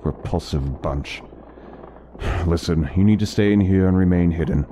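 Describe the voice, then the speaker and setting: A man acting a deep-voiced, courteous fantasy doctor. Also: mellow, a little hiss or hum, natural voice. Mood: low, urgent. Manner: formal, deliberate, hesitant. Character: courteous, learned fantasy doctor, measured and guarded. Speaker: voice actor in an interactive fantasy audio story. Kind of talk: fantasy audio drama acting. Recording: music behind the voice.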